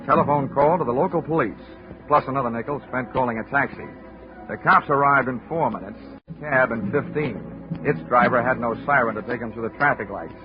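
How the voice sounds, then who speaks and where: An actor playing a wisecracking, unflappable male insurance investigator in old-time radio style, with a wry, deadpan, commanding delivery. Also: medium pitch, brisk, close to an everyday voice. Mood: dry narration. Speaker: detective lead and narrator. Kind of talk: old-time radio detective acting, hard-boiled narration. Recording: muffled vintage radio broadcast, music.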